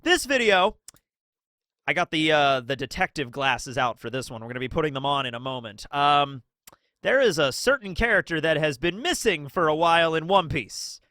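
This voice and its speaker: Male commentary host, high-pitched and chatty, fighting a cold, with a scratchy, sniffly voice. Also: normal speed, no room sound, studio quality. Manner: chatty, excitable, asks rhetorical questions. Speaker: channel host. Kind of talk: casual commentary video.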